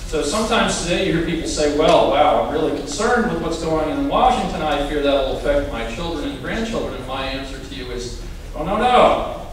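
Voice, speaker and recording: A man giving a lecture at a political rally, with a wry, deadpan humor. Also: a little high, fairly quick, a little hiss or hum. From Hawaii. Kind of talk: lecture with dry jokes. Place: large hall before an audience. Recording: echoey hall from a distance.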